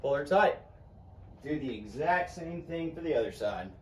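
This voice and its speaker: A young man casually walking through steps of a how-to under a carport at night, speaking in relaxed, plainspoken directions to a phone camera as he moves around. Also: on the high side, moderate pace, a little hiss or hum. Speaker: kayaker demonstrating gear. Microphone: phone camera, moving around the car.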